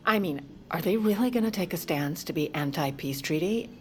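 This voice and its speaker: Wry, low-voiced Lady, played by an actress. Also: a little fast, lightly acted. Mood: confident. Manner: wry, deadpan asides. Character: Edith Wilson, shrewd, wry, self-assured American First Lady. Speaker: narrator and lead character. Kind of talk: audio fiction comedy, conversational narration.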